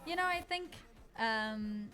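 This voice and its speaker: A woman from Zimbabwe talks on a radio show as the guest singer. She sounds earnest and enthusiastic, with frequent ums and restarts in her phrasing. Music runs clearly under her voice in the studio. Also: fairly high pitch, expressive, slow pace, pushed and bright, a faint crowd. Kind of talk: radio interview.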